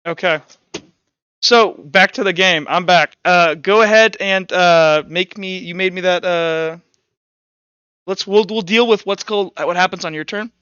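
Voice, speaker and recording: A man running a tabletop roleplaying game as game master, narrating casually and haltingly as he thinks aloud. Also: high voice, pushed and bright, clear, resonant tone, no echo, muffled recording.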